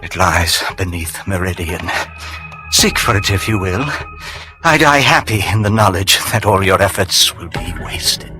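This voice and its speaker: An actor playing a gloating, treacherous vampire henchman in a video game, raspy and theatrical, with mocking, formal archaic phrasing. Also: sits low, animated, a little slow, over music. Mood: bitter, defiant. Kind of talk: dramatic video game voice acting.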